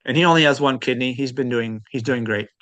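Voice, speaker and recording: A man's voice: medium pitch, quick pace, a bit bright, no echo. A man speaking as an interview guest in casual, unscripted conversation, hesitant and thoughtful as he hedges his points. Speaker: interview guest, transplant recipient.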